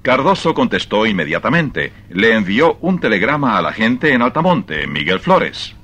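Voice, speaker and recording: Deep voiced man narrating an old radio play, bright n punchy, really lively delivery. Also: moderate pace, breathy edge. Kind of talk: scripted radio drama storytelling narration.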